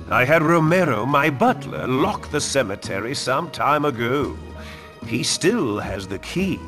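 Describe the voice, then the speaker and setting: Slow, theatrical gentleman. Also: medium pitch, full character voice. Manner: formal, theatrical. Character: fussy male gentleman employer with secrets, cartoon game. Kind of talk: animated video game character acting.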